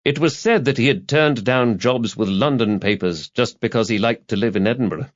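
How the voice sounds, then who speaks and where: A man's voice: mid-range voice, normal speed, highs cut off. A man narrating a scripted crime novel as an audiobook reader.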